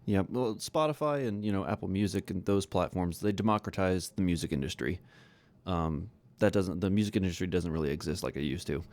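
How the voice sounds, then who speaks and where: A man's voice: deep voice, fairly quick, darkish tone. A man hosting a podcast, giving his opinions in informal, conversational talk and thinking aloud as he goes. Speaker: podcast host.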